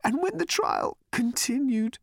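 A man voicing a half-mad wounded journalist in a storybook, hoarse and whimpering, with a tremulous, drawn-out, hysterical delivery. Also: big pitch swings, fairly bright tone, no echo, clean studio sound, exaggerated voice. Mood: weeping, whimpering. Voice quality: hoarse, whimpering, high and strained. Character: wild-eyed, half-mad wounded journalist, weeping and shrieking.

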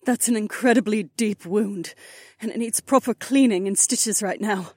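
Casting: actress as composed woman soldier, measured and serious, practical, very dry audiobook recording. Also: mid-range voice, darkish tone, husky, dry recording, close to an everyday voice. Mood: grave. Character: level-headed, practical female soldier, a corporal. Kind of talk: dramatised audiobook character acting.

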